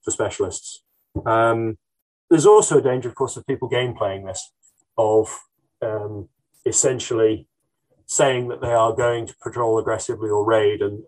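A man's voice: mid-range voice, low-quality recording. A man answering interview questions as a historian, thoughtful and hesitant, with frequent ums and restarts. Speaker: military historian guest.

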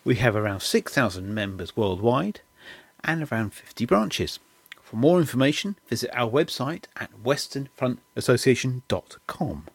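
A man hosting a history podcast, reading a formal introduction and asking measured interview questions. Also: medium pitch, sing-song, slightly rough, no room sound. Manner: formal, measured presenter delivery. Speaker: podcast host.

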